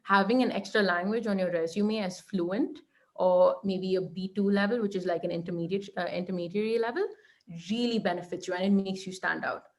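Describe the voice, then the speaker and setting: A young woman with an Indian accent answers interview questions at a steady mid pitch. She gives earnest, practical advice and keeps checking in with a quick "right?". She often restarts her phrases as she talks. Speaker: guest, law lecturer. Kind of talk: online interview conversation.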